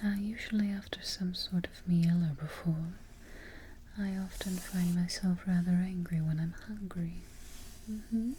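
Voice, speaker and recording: A woman playing a fussy, quietly menacing evil queen in a soft, whispered ASMR roleplay, musing with deliberate pauses. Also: fairly low pitch, fairly even intonation, a little hiss or hum, close to an everyday voice. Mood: darkly amused.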